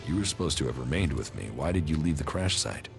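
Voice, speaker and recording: An actor playing a gruff, cold military commander in a video game, terse and dryly sardonic, with a gravelly voice. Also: male voice, a bass voice, lively intonation, quick pace, soft background music. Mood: curt, demanding. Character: gruff, cold male alien-faction commander, curt and weary.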